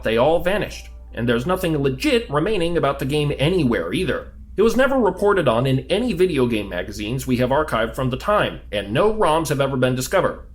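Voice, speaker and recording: Actor as animated, talkative rookie male agent. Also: fairly high pitch. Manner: conversational, questioning, easily flustered. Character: talkative, curious, exasperated new male government agent. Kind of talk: audio drama dialogue.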